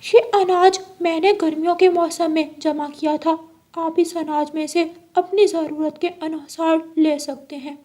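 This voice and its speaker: Cartoon parrot played by a woman: very high voice, emphatic and instructive, generous but careful. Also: fairly even intonation, a little slow, warm tone. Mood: helpful, firm. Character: helpful, cautious parrot who shares stored grain. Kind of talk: children's cartoon voice acting in Hindi.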